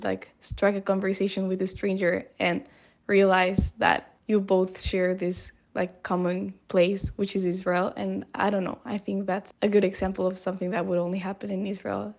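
A young woman from Ecuador telling a gentle, reflective anecdote, on a dull lo-fi recording. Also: mid-range voice, level tone, normal speed. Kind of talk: casual anecdote.

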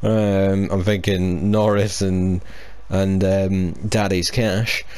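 A man hosts a casual podcast chat. He rambles in a relaxed way, full of ums and restarts. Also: low voice, slow. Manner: chatty, hesitant, with many fillers and restarts. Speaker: podcast host.

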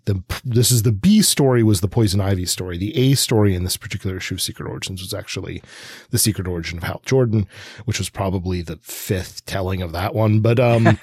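Low, slightly husky man chatting casually. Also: a little mellow, no room sound, studio quality. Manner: chatty, digressive. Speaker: podcast co-host. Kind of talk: casual rambling discussion.

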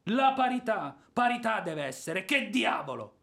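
An actor playing a gruff, hot-tempered, boorish former officer in an Italian radio drama, with a blustering, theatrical delivery. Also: male voice, high-pitched, sing-song, a little slow, a bit bright, heavily acted. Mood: outraged blustering.

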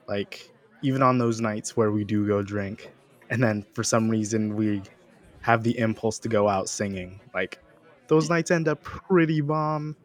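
A young man chatting easily as a podcast co-host. He is laid-back, swears freely and laughs a lot. A faint crowd murmur sits in the background. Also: fairly low pitch. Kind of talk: casual chat.

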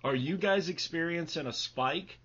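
A man's voice: a little high, normal speed, fairly bright tone, slightly rough, highs cut off. A man hosting a podcast in casual conversation. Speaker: podcast host. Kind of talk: casual chat.

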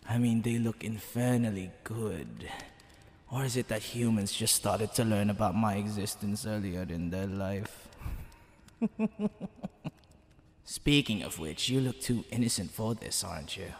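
A man voicing a smug, flirtatious demon king in a theatrical ASMR roleplay, teasing the listener, with creaky tones that drop into breathy whispers. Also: on the low side. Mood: teasing curiosity. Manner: theatrical, drawn-out, teasing delivery. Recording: long hall reverb, background hiss and ambience.

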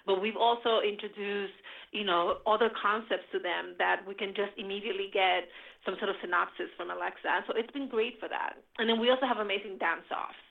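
A middle-aged woman from the Dominican Republic, speaking. She tells stories in an animated, enthusiastic way at a medium pitch. Her voice comes through a thin, tinny phone line. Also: fairly quick, gravelly. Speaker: podcast guest. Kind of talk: casual interview chat.

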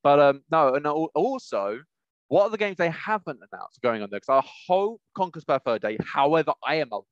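A man's voice: fairly high pitch, big pitch swings, brisk, no echo, muffled recording. A British man co-hosting a podcast in casual chat, rambling and often correcting himself as he goes. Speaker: podcast co-host.

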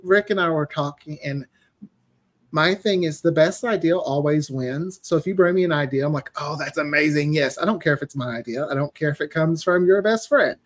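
A man's voice: on the high side, brisk. A man chatting casually as an interview guest, a writer who acts out quoted reactions as he explains. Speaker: podcast guest, audio drama writer.

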